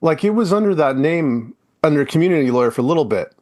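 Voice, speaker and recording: A man's voice: fairly high pitch, expressive, fast talker, darkish tone. A man hosting a podcast interview, talking loosely with lots of fillers and restarts. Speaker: podcast host. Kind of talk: casual interview chat.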